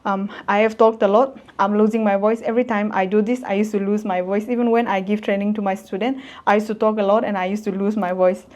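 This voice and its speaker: A woman's voice: mid-range voice, fairly even intonation, quick pace, hardly any pauses, a little mellow. A woman in her twenties talking straight to camera in a casual motivational vlog, earnest, blunt and emphatic. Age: 20s. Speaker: vlogger.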